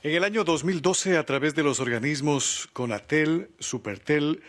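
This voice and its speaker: A man's voice: a little high, moderate pace. A man, a channel representative reading a formal statement at a hearing. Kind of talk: formal prepared statement, read aloud.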